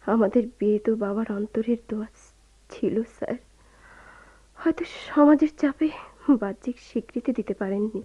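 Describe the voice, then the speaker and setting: A dull, lo-fi radio drama recording of a woman playing an anguished young wife. Her voice is high, tremulous and pleading, deferential even in distress. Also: moderate pace, close to an everyday voice. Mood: sorrowful. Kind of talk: emotional Bengali radio play acting.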